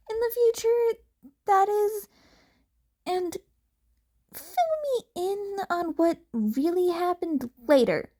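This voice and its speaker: A woman voicing an anxious, caring teenage girl in an audiobook-style story, tense and gently scolding. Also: very high voice, pitched above the performer's own voice, full character voice.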